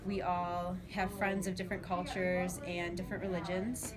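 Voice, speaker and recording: A woman's voice: on the low side, level tone, normal speed. A young woman from Michigan in her twenties, a student, talking casually in an interview with lots of "um" and "like". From Dearborn, Michigan, US. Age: 20s. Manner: chatty, many fillers like "um" and "like". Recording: crowd chatter behind.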